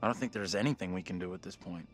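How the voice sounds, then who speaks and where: A man's voice: low voice, rapid-fire, soft background music, natural voice. An actor playing an anxious, doubtful young man in a horror game, uneasy and hesitant. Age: young adult. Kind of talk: cinematic horror game dialogue.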